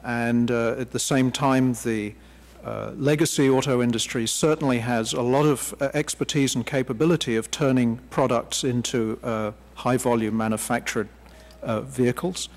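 A middle-aged man testifying formally at a hearing, pausing often, into a desk microphone. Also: medium pitch, steady tone. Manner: measured, formal, with frequent pauses. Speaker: hearing witness. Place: large hearing room. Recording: reverberant hearing room.

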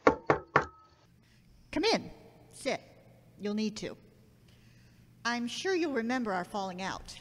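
Casting: businesslike female boss acted by a woman, animated delivery, echoey recording with some noise. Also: on the high side, slow pace, pauses often. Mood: brisk, firm. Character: brisk, businesslike woman boss, nearing retirement. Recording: echoey hall reverb, background noise.

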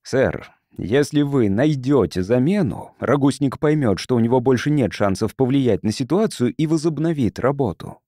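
An earnest, troubled male scientist from Earth, voiced by an audiobook narrator, presses his point in a mellow voice. The recording is dry and the character is insistent. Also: mid-range voice, animated, moderate pace, few pauses, no echo, natural voice.

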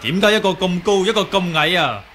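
A courteous young martial arts hero, speaks in a high voice with formal assurance. The sound is a noisy old radio drama recording. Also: male voice, expressive, fairly quick, bright, forceful tone. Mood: courteous, reasoning. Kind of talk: 1980s Cantonese radio drama acting.

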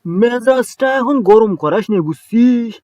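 A man with a Bogura accent voicing a gruff male shopkeeper in a cartoon, who talks in a scolding way and is touchy about his bald head. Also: very high-pitched, measured pace, a little mellow, dry recording, studio quality. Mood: irritated. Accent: Bogura dialect of Bangla.

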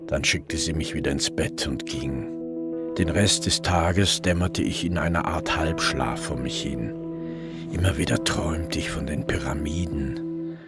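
A man's voice: rumbling low, fairly even intonation, unhurried, over loud music. A man acting as a brooding police detective who narrates his case in first person in a German crime radio play. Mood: reflective, subdued.

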